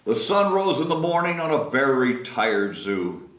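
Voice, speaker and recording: A man's voice: mid-range voice, sing-song, measured pace, a bit bright, slight background noise. A man hosting story time, reading expressively to children and chatting casually between passages. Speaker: story time host and reader. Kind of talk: expressive children's read-aloud with casual asides. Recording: echoey room.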